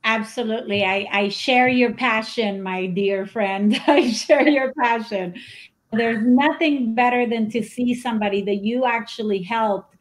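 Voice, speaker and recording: A woman's voice: on the high side, unhurried, low-quality recording. A woman hosting a live interview show from home over a video call, talking enthusiastically and laughing often. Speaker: show host. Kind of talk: casual interview chat. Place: home room.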